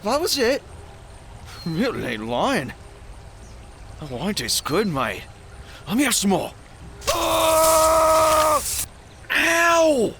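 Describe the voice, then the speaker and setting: A man playing an obnoxious, hard-drinking, foul-mouthed fighter in an audio drama, slangy and dazed, with drawn-out exclamations. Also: very high voice, very animated, full character voice. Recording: faint background noise.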